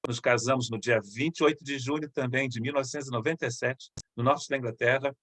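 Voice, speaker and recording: A middle-aged man talks as a missionary pastor, sounding conversational and halting, often restarting his sentences. His voice is mid-pitched and recorded dry and close, with no room sound around it. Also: fairly even intonation, a bit bright, dry recording. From Brazil, Rio de Janeiro state.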